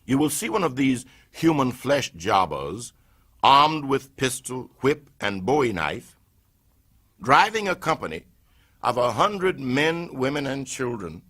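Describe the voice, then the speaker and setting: An older man giving a solemn dramatic reading of a historical speech, rising to impassioned peaks and sinking to hushed tones. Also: a little high.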